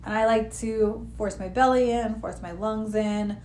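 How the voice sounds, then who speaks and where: A woman guiding a relaxed live meditation session, gently talking, with personal asides, sniffly from allergies. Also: on the high side, measured pace. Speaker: meditation guide. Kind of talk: guided meditation talk. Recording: echoey room with faint noise.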